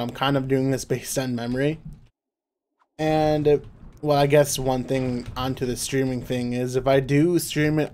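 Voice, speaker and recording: A young man talking casually in a laid-back monotone over his gameplay, with lots of fillers. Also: medium pitch. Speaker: gaming YouTuber.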